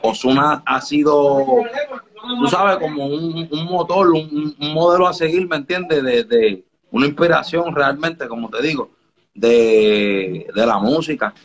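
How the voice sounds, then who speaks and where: A man's voice: on the high side, unhurried. Laid-back man on dull audio. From Dominican Republic. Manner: laid-back, keeps asking "you get me?". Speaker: music producer guest. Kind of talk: casual interview chat.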